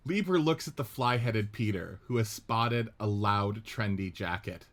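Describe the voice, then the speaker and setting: A man narrating the stage directions of a radio play script in a steady, descriptive way. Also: on the low side, a little slow.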